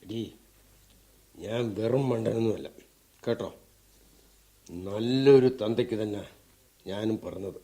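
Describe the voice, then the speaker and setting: An actor playing a drunken, defiant, aggrieved husband in a radio drama, with a southern Kerala Malayalam accent and a blustering, grumbling delivery. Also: male voice, fairly low pitch, a little slow, pauses often, dark tone. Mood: belligerent. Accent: Kerala Malayalam, southern Travancore. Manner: blustering, grumbling, with frequent grunts. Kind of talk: mid-century Indian radio drama acting.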